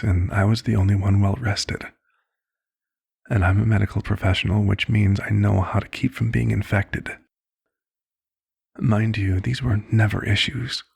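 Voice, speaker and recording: A man playing a compassionate, fatherly vampire doctor in an ASMR roleplay, speaking in a measured, formal, hushed way with a low, husky, gravelly voice. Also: flat delivery, full character voice. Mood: somber, protective. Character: compassionate old vampire doctor, fatherly, weary, gently persuasive.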